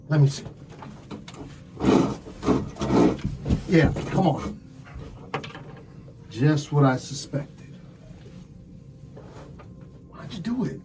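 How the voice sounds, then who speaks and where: A man playing a smug police detective in an ASMR crime roleplay, telling things dramatically with drawn-out, hushed phrases. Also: medium pitch. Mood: stern, confronting. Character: smug theatrical male police detective interrogating a suspect.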